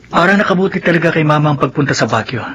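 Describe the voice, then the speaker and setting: Easygoing Filipino kid brother, high male voice, reasonable, noisy radio serial. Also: expressive, very fast, a bit bright, muffled recording. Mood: cheerful. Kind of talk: Filipino radio drama acting. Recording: radio broadcast with clear background noise.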